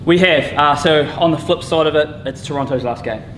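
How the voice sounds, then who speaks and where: A man, a rugby head coach, answering interview questions in a relaxed, halting way with many fillers. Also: a little high, slight background noise.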